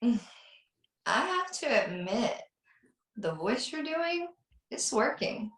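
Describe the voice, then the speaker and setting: Woman acting a teasing, guarded housewife in slasher drama, really slow delivery, echoey call audio. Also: fairly high pitch, very animated, a bit bright, exaggerated voice. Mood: flirtatious, teasing. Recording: roomy, echoey video call.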